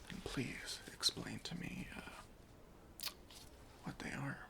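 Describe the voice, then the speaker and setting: A man's voice: fairly high pitch, heavily acted. Whispering airport security officer, played by a man. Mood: suspicious, questioning. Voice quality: whispery, breathy. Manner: slow, deliberate interrogation with mock-stern politeness. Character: officious chief airport security officer, skeptical yet easily persuaded. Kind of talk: ASMR roleplay.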